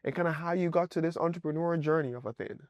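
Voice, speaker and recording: A man's voice: fairly high pitch, racing, no echo. Upbeat, dry-sounding male podcast host. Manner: upbeat, hype, emphatic. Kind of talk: enthusiastic show intro and interviewing.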